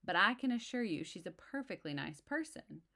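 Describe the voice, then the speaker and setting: A woman's voice: mid-range voice, very animated, normal speed, sharp tone, voice pushed up. A woman voicing a firm, reassuring mother bear with an emphatic, chiding manner in a children's storybook. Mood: indignant, reassuring.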